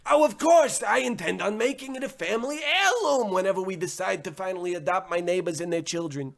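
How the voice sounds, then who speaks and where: A man's voice: high voice, a little fast, creaky. A man playing an eager, naive sidekick in a parody radio play, earnest and melodramatic. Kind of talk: scripted old-time radio parody acting.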